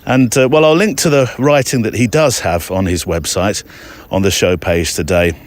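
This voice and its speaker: A man's voice: on the low side, fairly even intonation, normal speed. A man hosting a podcast outdoors, talking casually to listeners in a chatty, rambling way with hesitant asides. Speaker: podcast host. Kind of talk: casual walking monologue to listeners. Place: outdoors, walking in an overgrown park.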